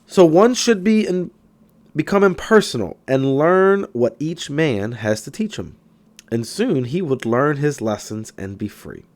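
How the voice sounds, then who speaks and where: A man reading a self-help book aloud in scripted narration, with emphatic, deliberate phrasing. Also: on the high side, big pitch swings, a little mellow. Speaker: audiobook reader.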